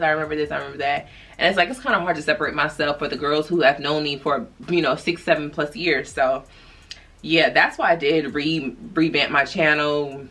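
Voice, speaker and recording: A woman's voice: deep voice, bright, forceful tone. A woman, chatting casually to camera as a vlogger, rambling with lots of slang. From New York. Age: 20s.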